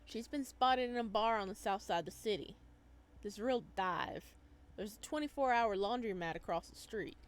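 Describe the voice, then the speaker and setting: A woman's voice: mid-range voice, expressive, moderate pace, close to an everyday voice. Woman voicing a sly, smirking neon cowboy. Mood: sly, smug. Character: smirking, cocky neon cowboy with veiled menace.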